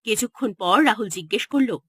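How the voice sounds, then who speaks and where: A woman's voice: mid-range voice, lively intonation, brisk, a bit bright, husky, no room sound, studio quality. A woman narrator reading a scripted horror story in a dramatic style.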